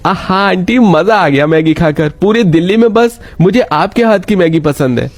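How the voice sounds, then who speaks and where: A man's voice: high voice, lively intonation, moderate pace, no echo, pitched above the performer's own voice. A man voicing a delighted, eager young customer at a food stall in a cartoon story.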